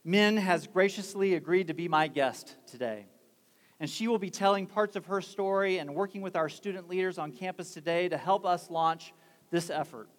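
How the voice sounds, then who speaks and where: Man, campus host, polite and formal, slightly high voice, podium in a large hall. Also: normal speed. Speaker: campus leader hosting the assembly. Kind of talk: formal introduction and interview.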